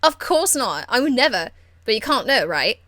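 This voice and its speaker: A woman voicing a cheeky, crude young street rebel woman from a fantasy game, blunt, slangy and excitable. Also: a little high, normal speed, no room sound, studio quality. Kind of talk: video game character acting.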